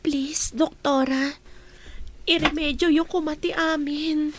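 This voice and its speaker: A woman's voice: high voice, fairly even intonation, slow pace, background noise. A young woman acting an insecure daughter in an Ilocano radio comedy drama. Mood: pleading. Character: insecure young daughter anxious to fix her looks.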